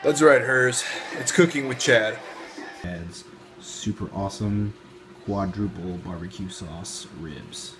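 A man's voice: on the low side, slow, music in the background. A man hosting an informal, jokey amateur cooking vlog, narrating casually from a home kitchen. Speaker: amateur cooking show host. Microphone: handheld phone or camera. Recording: noisy handheld camera recording.

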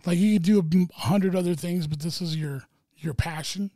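Brief: male stand-up comic, mellow tone, higher voice, rambling casual podcast talk. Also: fairly quick, no echo, clean studio sound. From Wyoming. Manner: loose, rambling, swears a lot. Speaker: stand-up comedian, podcast guest. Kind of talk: casual chat.

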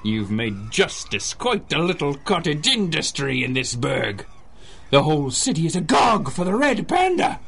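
An actor playing a mad, gleeful, theatrical supervillain in a radio drama, grandiose and given to gloating and cackling. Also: male voice, on the high side, sing-song, unhurried, vocal fry, a bit bright, slightly rough, full character voice. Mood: mocking glee. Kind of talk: 1930s-style radio superhero drama acting.

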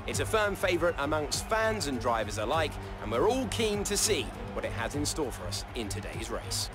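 A man's voice: on the high side, moderate pace. A man playing a racing game's circuit commentator, giving a polished scripted introduction. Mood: upbeat. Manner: polished, lively presenter delivery. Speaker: race commentator in the game. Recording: game audio with loud music behind.

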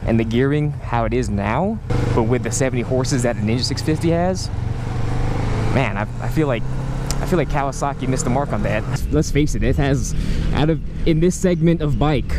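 A young man riding a motorcycle and chatting casually into a helmet mic, rambling and correcting himself as he goes. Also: mid-range voice. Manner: rambling, self-correcting, nitpicking. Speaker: motovlogger. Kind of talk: casual ride-along commentary. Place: riding a motorcycle on city roads, outdoors. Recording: road and engine noise.

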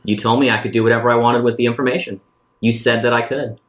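A man's voice: mid-range voice, little pitch movement, fast. A man playing a tabletop roleplaying game, talking casually in character.

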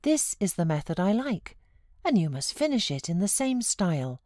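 A British woman voicing a leisured, politely insistent gentleman in an audiobook. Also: medium pitch, sing-song, normal speed, no echo, clean studio sound, pitched above the performer's own voice, close to an everyday voice. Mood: eager, insistent.

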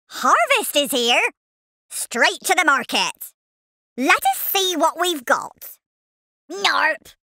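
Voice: high voice, pushed and bright, no room sound, clean studio sound, heavily acted. A performer voicing a cheeky, easily riled cartoon farmer worm for a video game, with a rustic English West Country accent and exclamatory delivery. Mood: cheerful, boastful, then indignant. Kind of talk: exaggerated video game voice barks.

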